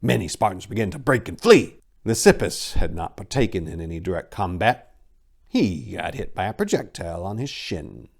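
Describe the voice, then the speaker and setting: A man narrating a story in a dramatic, theatrical way, with deliberate phrasing and emphatic swells. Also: lowish voice, gravelly, darkish tone. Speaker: podcast host and narrator.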